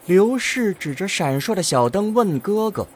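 A man's voice: high voice, sing-song, a little slow, a little hiss or hum. A man narrating a scripted science fiction audio drama in a dramatic storytelling manner.

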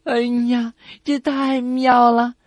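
A woman voicing an excitable, cheeky little boy in a comic radio joke. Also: a little high, slow, no echo, pitched above the performer's own voice. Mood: gleeful.

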